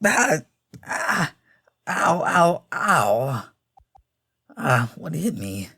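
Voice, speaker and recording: A man's voice: on the high side, sing-song, takes it slowly, gravelly, fairly bright tone, breathy edge, pitched above the performer's own voice, exaggerated voice. A man voicing a frightened young furred game character who stammers and whimpers as he exclaims. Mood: dazed, confused. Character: frightened young male furred creature, easily panicked. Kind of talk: dramatic reading of a game character.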